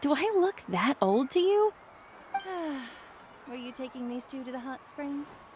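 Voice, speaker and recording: A friendly auntly neighbor from a video game, has a somewhat high voice. She is familiar and teasing, quick to mock-scold. There is faint noise behind her. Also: animated, a little mellow. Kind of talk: video game character acting.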